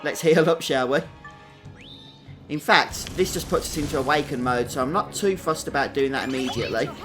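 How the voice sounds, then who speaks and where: A man's voice: a little high, normal speed. A man giving chatty live commentary on a video game, thinking aloud and often tagging questions onto his remarks. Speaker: game streamer. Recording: game music behind the voice. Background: video game music.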